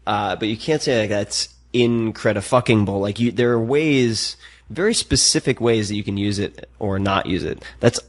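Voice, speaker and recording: Male host, lowish voice, measured and curious, hesitant uhs. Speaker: podcast host. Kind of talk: interview questions and casual chat.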